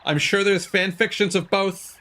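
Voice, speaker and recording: A man's voice: sits high, fairly even intonation, normal speed, bright, forceful tone. A man giving casual video game commentary as a live streamer, full of joking, playful asides. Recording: game music behind the voice. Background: video game music.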